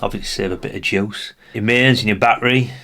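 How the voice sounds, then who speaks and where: A man's voice: on the low side, steady tone, quick pace, gravelly, sharp tone. A British man giving a casual hands-on walkthrough as a presenter, chatty and rambling, often tagging questions onto his remarks. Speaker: presenter of a vintage radio channel.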